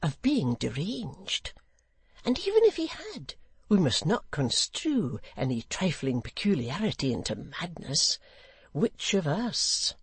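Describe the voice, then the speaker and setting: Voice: medium pitch, huge pitch swings, slow, breathy edge, dry recording, pitched above the performer's own voice, exaggerated voice. A reader voicing a zealous, self-righteous nobleman who speaks in a formal, earnest, declamatory way. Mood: defensive. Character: zealous, self-righteous English lord, solemnly easily offended.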